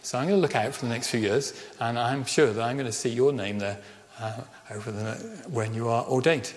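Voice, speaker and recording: A middle-aged male vicar with a Birmingham accent tinged by Welsh Ceredigion vowels, preaching in an earnest storytelling voice. His voice sits at an ordinary pitch, and he slows right down to stress key phrases. A long church reverb trails after each line. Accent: Birmingham with Welsh Ceredigion touches. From Birmingham, England. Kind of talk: sermon with personal story.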